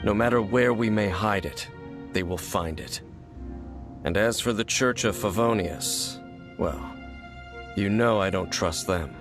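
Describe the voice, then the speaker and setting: An actor playing a grim, distrustful warrior in a fantasy game, speaking in a solemn, formal, restrained way. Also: male voice, fairly low pitch, unhurried, long pauses, loud music behind the voice, close to an everyday voice. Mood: stern, resolute. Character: grim, distrustful male warrior guarding against dark forces. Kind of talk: fantasy video game voice acting.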